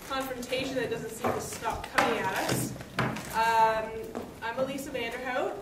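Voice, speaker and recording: A woman farmer speaking as a panelist at a symposium, candid and lively, heard in an echoey hall some way from the microphone. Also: a little high. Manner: candid, lively, laughs often. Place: university lecture hall. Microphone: room microphone at a podium. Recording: echoey hall, far from mic, noisy.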